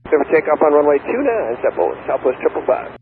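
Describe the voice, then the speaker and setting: A man with a mid-pitched voice gives pilot readbacks very quickly. The radio transmission is thin and clearly noisy. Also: sing-song, phone-quality sound. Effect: aviation radio. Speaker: airline pilot.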